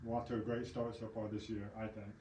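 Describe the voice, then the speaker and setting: A man with an Oklahoma accent hosting a casual live local news chat, relaxed and chatty, often laughing. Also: lowish voice, fast talker. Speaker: local news show host. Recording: roomy sound, mic at a distance, faint background hum.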